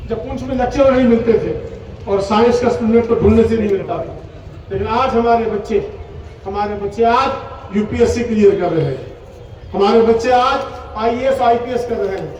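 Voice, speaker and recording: High-pitched male cleric orating, heavy echo. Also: normal speed, darkish tone. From Poonch, Jammu and Kashmir, India. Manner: exhortatory, emphatic, with rhetorical repetition. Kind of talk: impassioned Urdu public oration at a religious gathering. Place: large gathering, speaking from a stage. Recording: echoey public address, distant, faint noise.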